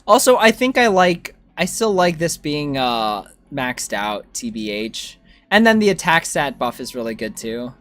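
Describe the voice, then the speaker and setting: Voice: mid-range voice, a little slow, soft background music. A game streamer chatting casually and informally, thinking aloud and replying to viewers. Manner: informal, animated reactions to chat. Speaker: game livestreamer.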